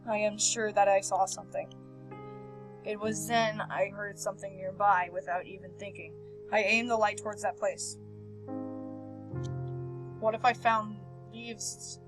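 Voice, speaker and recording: A woman hosting a game playthrough, reading visual novel text aloud with animated, casual reactions and stumbling over words now and then. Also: mid-range voice, a little slow, long pauses, low-quality recording. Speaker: host of a video game playthrough. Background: game background music.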